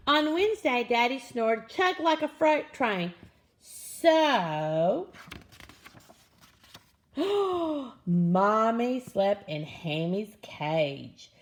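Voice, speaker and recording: A woman reading a children's picture book aloud in an expressive, dramatic manner. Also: medium pitch. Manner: animated, expressive, with dramatic gasps and exclamations. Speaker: storybook reader.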